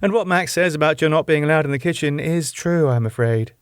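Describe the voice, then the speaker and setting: A man voicing a deadpan older British landlady. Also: a little high, brisk, smooth, dry recording, clean studio sound, pitched above the performer's own voice, close to an everyday voice. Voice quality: deadpan, matter-of-fact. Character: deadpan older woman, club owner's wife.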